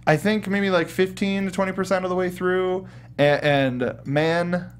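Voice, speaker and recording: A man's voice: high-pitched, fairly even intonation, unhurried, smooth. A man hosting a casual gaming show from a studio, talking in a rambling, hesitant, enthusiastic way. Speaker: show host.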